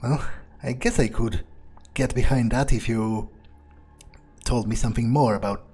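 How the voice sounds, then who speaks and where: A man's voice: mid-range voice, a little slow, darkish tone, breathy edge. A man commentating a video game playthrough in character, musing to himself in a casual, dry way. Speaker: gamer playing in character. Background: faint game music.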